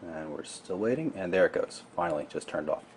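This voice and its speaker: A man with a low voice presents a gadget in a casual, explanatory way. He is matter-of-fact, like a reviewer walking through a product. There is clear noise in the background of the recording. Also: expressive, a little fast, darkish tone.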